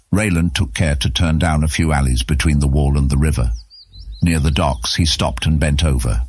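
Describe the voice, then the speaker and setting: A man's voice: rumbling low, breathy edge, no echo. A man narrating a scripted fantasy story as an audiobook narrator.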